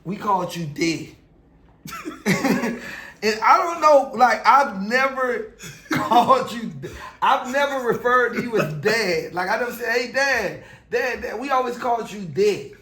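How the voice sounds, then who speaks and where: Young dude joking with his pops, high and bright, echoey room. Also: animated, unhurried. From Miami. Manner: playful, laughing, teasing questions. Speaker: son interviewing his father. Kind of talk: casual chat. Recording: echoey room, faint noise.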